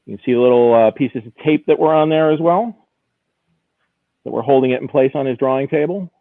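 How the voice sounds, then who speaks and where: Man, auction executive giving a casual live preview; thin phone-like sound, very dry, keen but halting. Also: mid-range voice, vocal fry, no echo. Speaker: auction house executive presenting lots.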